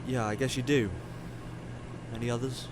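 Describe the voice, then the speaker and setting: A man's voice: medium pitch, flat delivery, normal speed, noisy background, natural voice. A man in an audio drama playing a sardonic, weary, regretful scientist from the future who is centuries old. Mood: flippant, sardonic.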